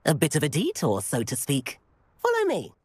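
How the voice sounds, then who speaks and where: A man's voice: on the high side, wildly swooping pitch, normal speed, husky, no echo, exaggerated voice. A man playing a cheeky, adventurous teenage schoolboy wizard in a video game, chatty, eager and well-spoken. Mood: eager, conspiratorial. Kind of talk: video game character acting.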